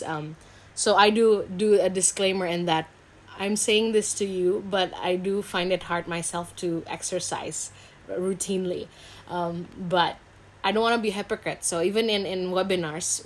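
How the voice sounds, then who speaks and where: Low-pitched Indonesian woman, candid and informal. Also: a little hiss or hum, poor audio. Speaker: psychologist and counselor, interview guest. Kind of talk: casual video interview chat.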